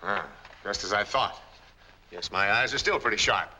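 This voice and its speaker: An actor playing a brisk, no-nonsense private investigator, terse and questioning, in a 1940s mystery film. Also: male voice, lowish voice, bright, forceful tone. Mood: brisk, matter-of-fact. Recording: noisy old film soundtrack.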